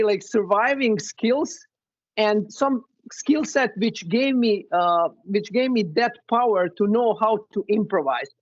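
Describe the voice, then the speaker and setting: A man's voice: high-pitched, dry recording, muffled recording. A man from Bosnia and Herzegovina, a former coach, talking earnestly on a webinar panel with many restarts.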